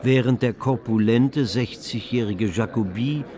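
A man's voice: mid-range voice, a little slow, mellow. A man narrating a historical radio drama in a composed, scripted storytelling style. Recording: music under the narration.

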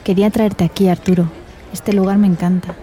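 A woman's voice: mid-range voice, little pitch movement, fairly quick, warm tone, lightly acted. An actress playing a playful, flirtatious woman from Spain in an intimate radio drama, with a Spanish accent and a teasing manner. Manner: teasing, coquettish. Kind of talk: radio drama acting, intimate phone conversation.

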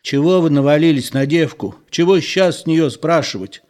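A man voicing a gruff, sensible old village man who grumbles at the others. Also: on the high side, moderate pace, darkish tone, voice pushed up, close to an everyday voice. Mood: reasoning.

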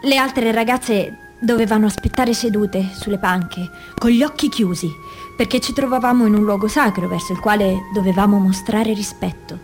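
A woman's voice: medium pitch, moderate pace, faint music behind, lightly acted. A woman reading scripted reports and testimony for a documentary radio play. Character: victims and witnesses recounting abuse by priests.

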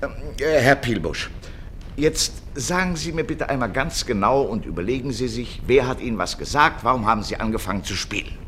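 A man's voice: medium pitch, expressive, a little fast, noisy background, natural voice. A man playing an officious, flustered station director in an old radio play, formal, agitated and exasperated.

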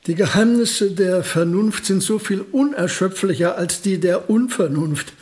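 An elderly physicist who is proud of his precision. His voice sits high, and he lays out every point with measured, pedantic care in this radio drama. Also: a little mellow.

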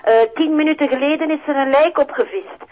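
A woman's voice: high voice, fast talker. An actress playing a flustered, chatty police colleague on the telephone, a woman with a Flemish accent, hesitant and informal, in a TV drama. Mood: reporting. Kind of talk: naturalistic television crime drama acting. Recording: dull phone-line sound, faint music.

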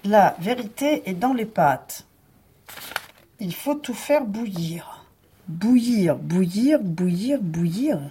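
A woman playing a stern police chief in a naturalistic audio drama, husky-voiced, dry, curt and authoritative. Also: mid-range voice, animated, slow pace, mellow, a little hiss or hum. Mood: slow reading aloud.

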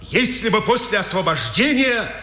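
An actor playing a proud, defiant communist prisoner of forty on trial, declamatory and impassioned, in a 1950s Soviet radio drama. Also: male voice, sits high, animated, normal speed, sharp tone. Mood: proud defiance. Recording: echoing hall, 1950s radio recording.

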